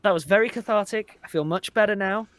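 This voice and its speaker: A man's voice: high voice, fast talker, a bit bright, dry recording. A man chatting casually as an interview guest, earnest and wryly self-deprecating. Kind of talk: casual chat.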